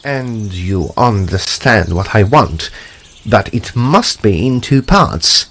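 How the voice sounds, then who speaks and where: A man playing a courteous, precise gentleman ghost hunter, telling his gothic tale in a formal, deliberate, expressive way. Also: on the low side, exaggerated voice. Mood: measured narrating. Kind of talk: dramatised gothic storytelling.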